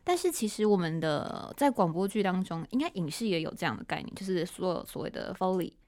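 A woman's voice: on the low side, expressive, brisk, a little mellow. A woman with a Taiwanese Mandarin accent hosting a casual podcast interview in a chatty, giggly, responsive way. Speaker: podcast host and radio drama writer.

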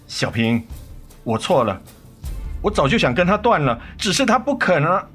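Cheating husband, widely swinging pitch. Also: male voice, sing-song, normal speed, a bit bright, breathy edge, exaggerated voice. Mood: pleading. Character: cheating husband and father, defensive, pleading, remorseful. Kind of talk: Mandarin radio drama acting. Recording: studio radio drama with music underneath.

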